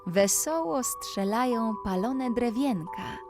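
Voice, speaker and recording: A woman narrating a scripted children's bedtime story, speaking deliberately and addressing the young listener directly. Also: a little high, animated. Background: music.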